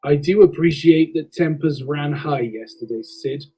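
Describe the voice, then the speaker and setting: A railway manager speaks in a calm, formal, reproving way, played by a man. His voice is mellow and a little husky. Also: fairly high pitch, measured pace. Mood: stern. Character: stern, measured male railway manager.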